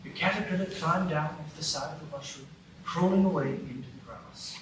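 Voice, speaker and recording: A man narrating a storybook tale in a live radio play, telling it in a clear, even way. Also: on the high side, moderate pace. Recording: roomy stage from a distance, hiss.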